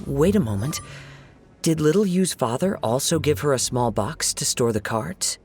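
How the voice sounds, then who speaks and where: An actor playing a calm, composed young wandering samurai in a game, speaking in a soft, measured way. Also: male voice, fairly high pitch, faint music behind.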